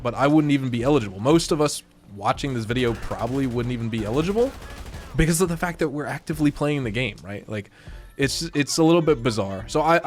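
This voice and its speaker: A young man talks loosely over gameplay at a middle pitch, his voice a little coarse and congested from a cold. He rambles, circles back and fills gaps with "like" and "you know." He sounds relaxed and chatty. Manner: rambling, conversational, many fillers. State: slightly hoarse and congested. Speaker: gaming YouTuber. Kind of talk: casual commentary over gameplay.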